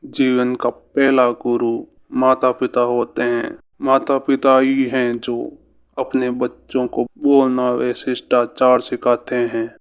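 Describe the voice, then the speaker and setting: Cartoon village lad played by a man: earnest, curious, mid-pitched, clean resonant voice, slightly dull recording. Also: completely flat delivery, slow, clear, resonant tone. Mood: earnest, resolute. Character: earnest, brave young village son, curious. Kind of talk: Hindi cartoon fairy-tale dub.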